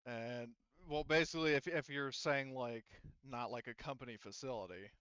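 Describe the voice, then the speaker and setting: A man speaking as a game master, explaining the story in a halting, conversational way. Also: medium pitch, a bit bright, smooth, no room sound, muffled recording. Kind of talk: improvised tabletop roleplay narration.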